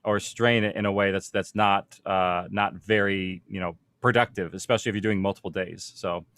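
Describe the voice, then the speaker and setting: A man's voice: sits low, steady tone, normal speed, a bit bright, dry recording. A man speaking as a producer on a panel, explaining practical matters informally and conversationally, thinking aloud as he goes.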